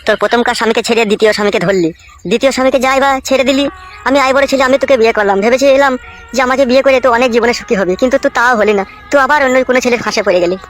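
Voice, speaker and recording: Cartoon casting: aggrieved, foul-mouthed young husband played by a woman, very high pitch, rapid-fire rants. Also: natural voice. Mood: hurt, lamenting. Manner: ranting, scolding, coarse village speech. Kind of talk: comic cartoon dialogue.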